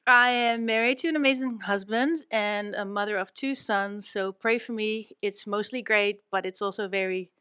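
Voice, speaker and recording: A woman's voice: mid-range voice, fairly even intonation, normal speed, few pauses, sharp tone, clean tone, no room sound, clean studio sound. A woman in her forties introducing herself on a talk show, playful and self-mocking. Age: 40s. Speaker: co-host. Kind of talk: casual group talk show chat.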